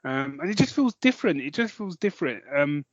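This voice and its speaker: A man's voice: a little high, sing-song, a little fast, dry recording, muffled recording. A man chatting casually as a podcast co-host, rambling and jokey in a self-deprecating way.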